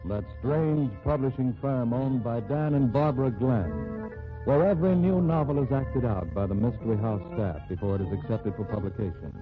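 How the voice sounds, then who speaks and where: A man narrating as announcer in 1940s radio style, measured and formal. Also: medium pitch. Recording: tinny old radio broadcast with music.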